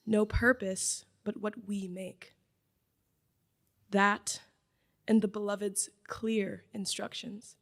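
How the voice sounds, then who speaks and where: Slow, deliberate teen girl reading a poem. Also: medium pitch, vocal fry, darkish tone. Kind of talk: poetry reading.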